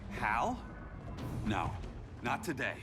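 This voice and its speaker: A man's voice: medium pitch, very animated, takes it slowly. A man playing a weary, wry superhero in a video game, speaking in a conversational way. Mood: wry, suspicious. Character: weary adult male superhero regaining people's trust. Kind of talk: video game drama acting. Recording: loud music under the voice.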